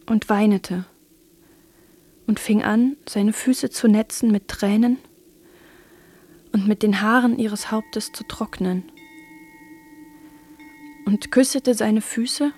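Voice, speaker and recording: Slow, anguished praying young woman. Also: mid-range voice, mellow, slightly rough. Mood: desolate. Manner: halting, pleading, sometimes whispering. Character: remorseful young mother praying over scripture, anguished. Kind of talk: radio drama acting.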